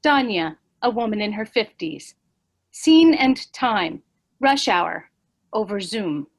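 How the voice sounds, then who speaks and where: A woman reading stage directions in a measured, even way for a virtual play reading. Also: medium pitch.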